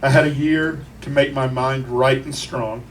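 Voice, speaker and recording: A grieving man delivers an emotional eulogy to a crowd, speaking deliberately, with profanity and wry humor. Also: mid-range voice, fairly even intonation. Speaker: eulogist at a memorial. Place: crowded bar at a memorial. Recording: noisy live room, distant mic.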